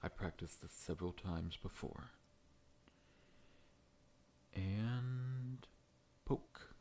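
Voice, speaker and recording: A man playing a large, friendly scorpion monster dentist in an ASMR roleplay, talking in a gentle, reassuring, professional way. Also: low voice, extremely slow, exaggerated voice. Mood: calm, professional.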